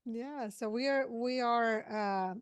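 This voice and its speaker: Woman from brazil, portuguese native speaker, podcast host, halting restarts, dry close sound. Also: fairly high pitch, clear, resonant tone, no echo. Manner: halting, many restarts and fillers. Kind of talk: casual interview chat.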